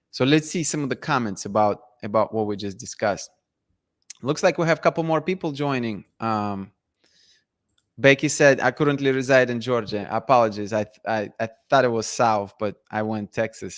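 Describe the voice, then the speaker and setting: A man hosting a casual live chat, reading viewer comments and talking with many restarts and fillers. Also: medium pitch. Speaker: livestream host.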